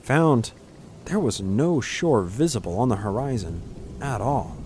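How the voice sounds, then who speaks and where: Male fantasy storyteller, mid-pitched. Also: very animated, a little slow, darkish tone, a little hiss or hum. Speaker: narrator. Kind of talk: scripted dramatic fantasy audiobook narration.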